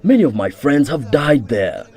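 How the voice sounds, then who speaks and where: Man, mournful, grave, mellow tone, middle pitch. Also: big pitch swings, normal speed, slightly rough. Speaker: interviewed migrant.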